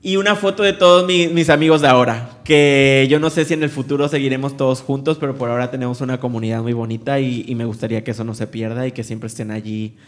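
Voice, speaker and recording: A young man from Veracruz with a Mexican Spanish accent, chatting as an interview guest, friendly and quick to laugh. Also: medium pitch, steady tone. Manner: friendly, laughs often, many fillers. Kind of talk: casual interview conversation.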